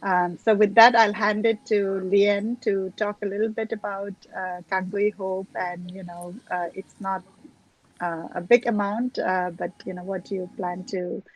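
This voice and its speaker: A woman's voice: medium pitch, steady tone, unhurried, muffled recording. A woman hosting a casual video call conversation, chatty and enthusiastic, with frequent ums and you-knows. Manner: enthusiastic, chatty, many fillers. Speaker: host. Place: United States.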